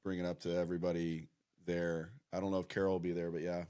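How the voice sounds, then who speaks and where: A man's voice: sits low, flat delivery, quick pace, dark tone, clear, resonant tone. A man in his forties co-hosting a casual podcast, joking and enthusiastically hyping his guest. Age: 40s. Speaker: podcast co-host.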